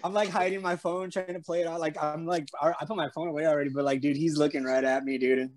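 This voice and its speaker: A man's voice: a little high, fairly even intonation, quick pace. Man with a San Antonio Spanish-tinged drawl. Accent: San Antonio Texan with a slight Spanish tinge. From San Antonio, Texas. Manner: rambling, full of fillers and restarts. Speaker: interview guest. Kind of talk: casual storytelling chat. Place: room at home. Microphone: webcam on a video call.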